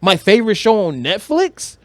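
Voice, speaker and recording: Higher-pitched man, slangy podcast co-host. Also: big pitch swings, moderate pace, a bit bright, no room sound. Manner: slangy, profane, opinionated. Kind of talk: casual chat about movies and games.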